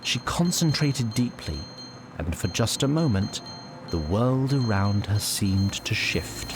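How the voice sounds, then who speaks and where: A man narrating a scripted fantasy audio story in a measured, literary and slightly wry manner. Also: lowish voice, expressive, over music.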